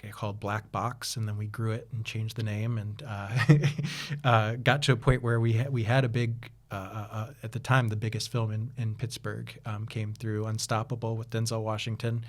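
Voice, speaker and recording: A man's voice: on the low side, flat delivery, moderate pace. Man, 30s, chatty podcast guest, rambling storyteller. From United States. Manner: chatty, rambling with many restarts and fillers, laughs easily. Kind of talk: casual interview chat.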